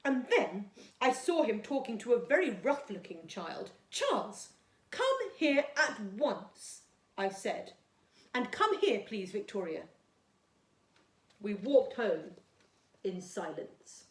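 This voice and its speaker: A woman's voice: a little high, sing-song, slow. Woman putting on a haughty, bossy posh mother. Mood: stern, imperious. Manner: haughty, prim, disapproving. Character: snobbish, bossy, well-to-do mother. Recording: echoey, roomy sound.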